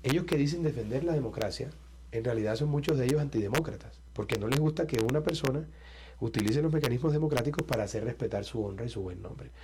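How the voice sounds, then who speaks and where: A man's voice: mid-range voice, expressive, quick pace, background noise. A man with a Colombian Caribbean coast accent, a presidential candidate answering interview questions in a confident, combative and emphatic way.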